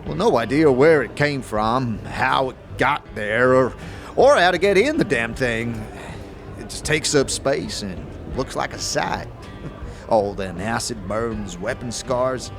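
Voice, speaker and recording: A man playing a sickly old ex-warrior in a fantasy audio drama, with a gravelly wheeze, stammering, chuckling folksy slang. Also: mid-range voice, expressive, measured pace. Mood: grumbling, musing. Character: sickly old ex-warrior tavern drinker, wry and grumbling. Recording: music under the voice.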